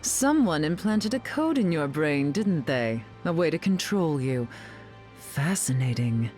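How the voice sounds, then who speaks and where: An imperious game aristocrat with a drawling, dryly mocking delivery. Her lines are unhurried and swoop expressively, over background music. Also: low voice, lively intonation, a little mellow. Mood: cool, probing. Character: haughty, sardonic mutant queen, glamorous telepathic aristocrat. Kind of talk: video game character voice lines.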